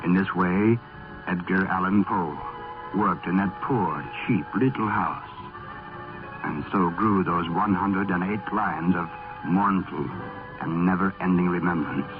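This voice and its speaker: Deep-voiced male radio narrator over music. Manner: measured, earnest, formal. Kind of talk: scripted dramatic radio narration. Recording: tinny vintage radio recording.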